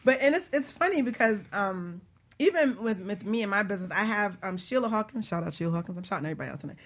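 A woman hosting a casual radio chat show, talking in an animated, chatty way and acting out quotes as a business coach. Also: medium pitch, quick pace.

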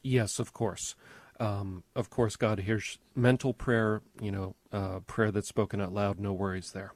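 A man co-hosting a religious call-in radio show, answering questions in an earnest, measured way with frequent ums. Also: on the low side, flat delivery, darkish tone, dry recording. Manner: earnest, measured, many fillers. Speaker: co-host, religious expert. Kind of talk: calm explanatory talk.

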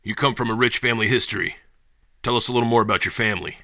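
An actor playing a businesslike space agency interviewer with a clipped, official manner in an audio drama. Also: male voice, fairly low pitch, very fast, creaky, bright, forceful tone. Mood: brisk, official.

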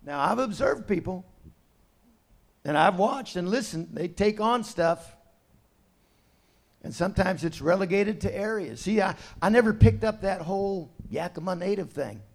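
A middle-aged man preaching a sermon in a conversational way, dropping to quiet asides and rising to loud, emphatic points. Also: high-pitched, lively intonation, moderate pace, vocal fry. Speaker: pastor.

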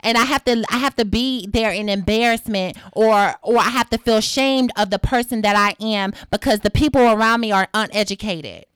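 A woman's voice: a little high, moderate pace, hardly any pauses, fairly bright tone, clear, resonant tone, no room sound. A woman talking emphatically and with profanity in a casual discussion.

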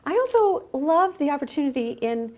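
A woman speaking as a podcast guest, explaining her creative work in casual conversation with halting phrasing. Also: high-pitched, sing-song, darkish tone, slight background noise. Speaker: podcast guest, musician and educator.

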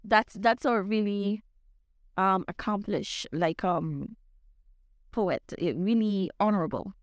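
A woman's voice: mid-range voice, slow pace, no room sound, studio quality. Hesitant young woman podcast host, French accent. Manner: hesitant, many fillers and restarts. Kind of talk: casual podcast chat.

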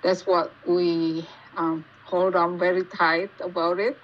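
Dull low-fidelity audio, faint noise, woman, Indonesian accent, interview guest, casual, halting delivery searching for words. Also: flat delivery. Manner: halting, searching for words in non-native English. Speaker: podcast guest, geologist.

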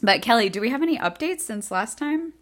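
A woman in her thirties co-hosting a podcast, sounding congested from a cold, relaxed and friendly. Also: on the high side, fairly quick, crowd noise. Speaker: podcast co-host and journal editor. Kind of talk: casual podcast chat.

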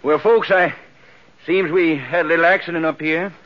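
An actor playing a genial, flustered, folksy county fair official in a 1950s radio western, stammering and fussing. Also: male voice, on the high side, steady tone, normal speed, close to an everyday voice. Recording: dull 1950s radio broadcast.

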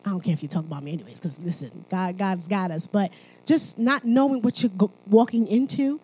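A woman's voice: mid-range voice, a little fast, mellow. A woman from Haiti speaking at church, mixing sermon and personal testimony in a conversational, emphatic manner, often checking in with listeners.

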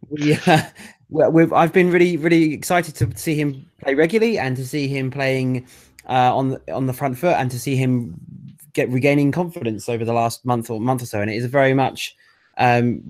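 A man's voice: mid-range voice, a little mellow. Opinionated podcast guy, eager, trips over his words, ordinary middle voice. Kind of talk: casual football podcast chat.

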